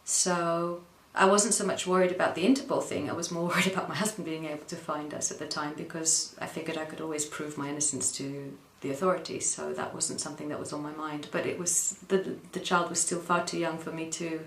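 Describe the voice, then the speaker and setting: A woman from the Netherlands giving a personal account as an interview guest, speaking hesitantly with frequent pauses and ums, recorded in an echoey room. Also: low voice, flat delivery, slight background noise.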